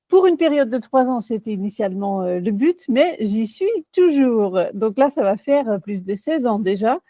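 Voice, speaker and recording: A woman's voice: a little high, animated, moderate pace, dark tone, no room sound. A woman in her forties from the Netherlands with a Dutch accent, answering interview questions as a career coach, hesitant with many fillers and restarts.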